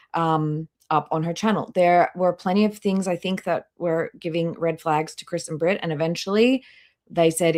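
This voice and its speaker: A woman's voice: deep voice, a little mellow. A woman live streamer giving casual commentary and reading out chat, with a disapproving, dryly sarcastic manner.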